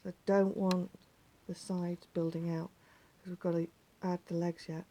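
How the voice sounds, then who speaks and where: A woman with a slightly croaky voice, patiently talking viewers through a craft step by step and often dropping to a near whisper. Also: fairly low pitch, flat delivery, normal speed, mellow, a little hiss or hum. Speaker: needle felting teacher. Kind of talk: hands-on craft tutorial.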